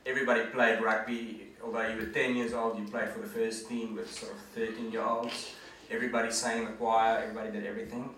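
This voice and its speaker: A man's voice: on the low side, little pitch movement, fast talker, fairly bright tone, far from the mic, a little hiss or hum. Echoey man speaking in a hall. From South Africa. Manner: earnest, conversational, reflective. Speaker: guest speaker, management consultant. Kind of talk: presentation to an audience.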